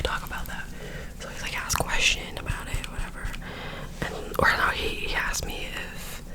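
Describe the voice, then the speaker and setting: A young woman whispering a rambling story into a close microphone while doing her makeup, often correcting herself as she goes. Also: poor audio. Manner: rambling, self-correcting, filler-heavy storytelling. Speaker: ASMR creator.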